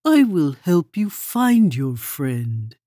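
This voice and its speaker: A kindly, motherly mole who fusses over visitors, as a woman reads her. The voice sits low and mellow, drawn out slowly, soft and comforting. Close and dry, with no room around it. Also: big pitch swings, no room sound, exaggerated voice. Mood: soothing. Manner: soothing, with a French greeting. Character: kindly motherly female mole, fussing and warm. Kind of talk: storybook read-aloud.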